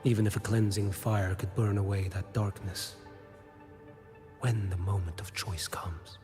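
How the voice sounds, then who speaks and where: An actor playing a blind, watchful bodyguard in a video game, speaking in a measured, cryptic and formal way. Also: male voice, sits low, fairly even intonation. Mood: solemn, probing. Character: blind, solemn watchful male bodyguard and investigator. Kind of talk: scripted video game dialogue. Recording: long reverb, music underneath. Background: game music.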